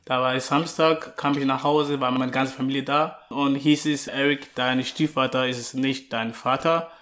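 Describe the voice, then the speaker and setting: A thirty-year-old man from Ghana, talking casually and a little haltingly as an interview guest, with a joking streak. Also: medium pitch, steady tone, a bit bright. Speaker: interview guest, personal trainer. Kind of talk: casual personal storytelling.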